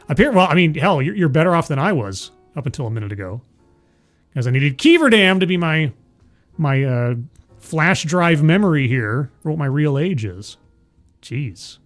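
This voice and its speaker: A man in his forties hosting a livestream, chatting casually with viewers and laughing at himself. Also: on the high side. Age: 40s. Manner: rambling, self-deprecating, laughs often. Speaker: livestream host. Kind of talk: casual chat with live chat.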